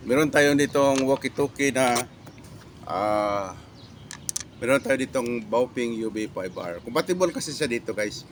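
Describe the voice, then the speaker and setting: A Filipino man giving a casual product demo as a reviewer, talking in a halting, repetitive way with catchphrases. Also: mid-range voice, lively intonation, a little hiss or hum.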